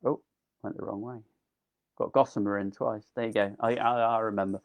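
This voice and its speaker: A man's voice: fairly low pitch, steady tone, fairly quick. A man giving a casual, enthusiastic live online gardening talk, full of ums, as his voice wears thin. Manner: chatty, enthusiastic, with frequent ums. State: losing his voice. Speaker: delphinium grower giving a talk.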